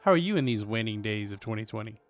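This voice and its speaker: A man's voice: on the low side, expressive, fast, faint music behind. A man doing casual livestream commentary with wry, joking asides. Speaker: streamer.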